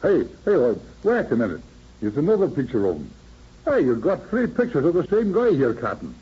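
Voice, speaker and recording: An actor playing an obliging crime witness, halting and excitable, in an old radio drama. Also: male voice, fairly high pitch, husky, heavily acted. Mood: excited. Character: eager, obliging, hesitant laundry robbery witness. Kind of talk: 1930s radio acting. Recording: muffled vintage radio recording.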